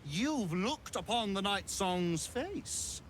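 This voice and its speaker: An actor playing a haughty, theatrical wizard in a video game, smugly menacing, with a pompous and deliberate delivery. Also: male voice, high voice, sing-song, slight background noise, full character voice. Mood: menacing, probing. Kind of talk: video game villain acting.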